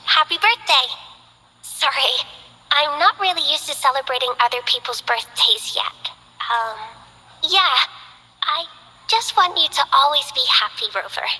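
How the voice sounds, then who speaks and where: A gentle, shy young botanist girl from a game, soft-spoken and a little hesitant. Her voice sits high and bright with a breathy edge. It is heard with a long hall reverb. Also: expressive, unhurried, full character voice. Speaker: game character. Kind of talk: game voice lines.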